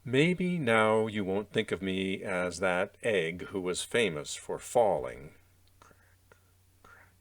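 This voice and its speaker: A man reading a picture book aloud in the role of a wistful, determined egg, earnest and measured with dramatic pauses. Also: sits low, animated. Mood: quietly proud. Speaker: story reader. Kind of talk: gentle picture-book storytelling read aloud.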